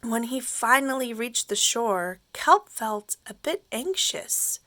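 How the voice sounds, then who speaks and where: A woman teacher reading a children's story aloud expressively, pausing to explain things simply for young listeners. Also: on the high side, animated, bright, forceful tone, dry recording.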